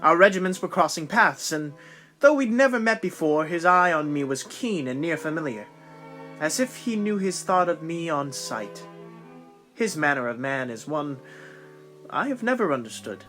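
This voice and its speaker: A man's voice: fairly high pitch, expressive, normal speed, sharp tone, soft background music. A man playing a courteous, devoted young lieutenant who speaks in formal, flowery phrases in an amateur period audio drama. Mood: reflective.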